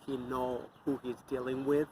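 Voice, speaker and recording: A man's voice: mid-range voice, flat delivery, normal speed, background noise. Angry man ranting outdoors. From Mexico. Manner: angry, profane, scornful. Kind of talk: off-the-cuff ranting monologue.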